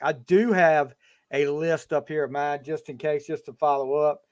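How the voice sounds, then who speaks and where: A fifty-year-old man hosts a casual podcast from home over a video call. His voice sits a little high, and he rambles, restarting his sentences again and again. The sound is close and dry. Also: fairly even intonation, normal speed, no room sound. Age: 50s. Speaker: podcast host. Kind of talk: casual chat.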